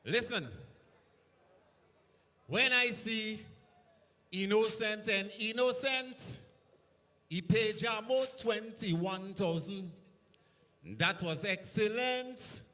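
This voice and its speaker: Brief: high, bright male calypsonian, slow delivery, stadium reverb. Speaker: calypso performer. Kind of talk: live calypso performance. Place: outdoor stadium stage. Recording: reverberant live stadium sound.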